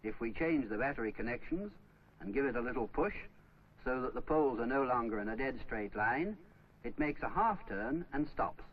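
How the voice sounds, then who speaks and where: A man narrating a scripted 1950s instructional film, explaining step by step in a didactic way. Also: mid-range voice. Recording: dull, noisy old 1950s film soundtrack.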